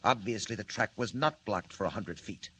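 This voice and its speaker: A man's voice: on the low side, moderate pace, sharp tone, a little hiss or hum. An actor playing a scheming, mocking male villain mastermind in 1940s radio acting, with smug, stiff, formal phrasing.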